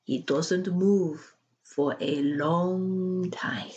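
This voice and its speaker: A middle-aged woman reading a children's novel aloud expressively, in a measured, deliberate way. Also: fairly low pitch, steady tone, darkish tone, dull audio, slight background noise. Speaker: storybook reader. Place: small home room.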